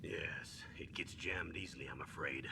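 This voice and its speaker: An actor playing a stern, steady older military commander in a video game, with a measured, authoritative delivery. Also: male voice. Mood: grave. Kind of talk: scripted video game voice acting. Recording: clearly noisy game audio with low rumble.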